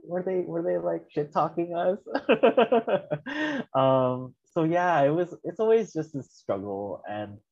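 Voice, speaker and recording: A man's voice: fairly high pitch, animated, slow pace, gravelly, a little mellow, dull audio. A young man, born in the Philippines and raised in the US, telling his personal story as a podcast guest in a candid, reflective way. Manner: reflective, candid, laughs at himself.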